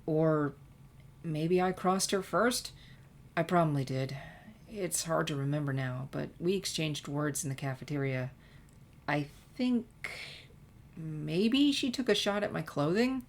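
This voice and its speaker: A woman plays a teenage girl with a low voice in a storybook reading. She is reflective and hesitant, confessing old schemes with regret. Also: measured pace. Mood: reflective, rueful.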